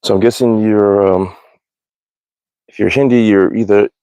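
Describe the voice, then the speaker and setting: A man's voice: lowish voice, steady tone, measured pace, mellow, smooth, dry recording, low-quality recording. An American man chatting casually on a livestream, rambling along in an informal, slangy way. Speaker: livestream host, hobby archer. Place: outdoors at a backyard archery range.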